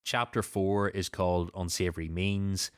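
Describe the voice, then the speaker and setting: A man's voice: deep voice, flat delivery, moderate pace, clear, resonant tone, no echo, studio quality. A man hosting a podcast, casually recapping and discussing a story with a co-host.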